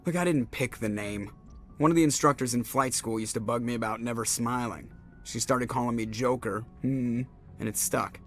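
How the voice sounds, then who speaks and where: A man's voice: mid-range voice, normal speed, soft background music, close to an everyday voice. A man playing a cocky, sarcastic young starship pilot in a video game, with a brash, defensive manner. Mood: proud, bristling. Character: cocky, wisecracking male starship pilot with brittle bones.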